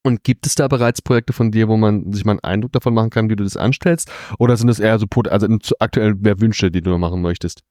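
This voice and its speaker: A man's voice: on the low side, a little mellow, no echo, clean studio sound. A man hosting a casual podcast interview at a comic convention, chatty and full of fillers. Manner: quick, chatty, frequent fillers.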